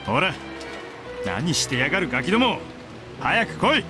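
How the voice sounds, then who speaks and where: Brash, impatient middle-aged man, visual novel, higher pitch, music behind. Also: sing-song, fast. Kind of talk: Japanese visual novel acting.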